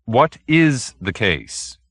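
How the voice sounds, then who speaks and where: A man's voice: mid-range voice, sing-song, extremely slow, a bit bright, dry recording, full character voice. A man voicing a businesslike, skeptical private detective in an audiobook, blunt and probing with a dry manner. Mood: annoyed.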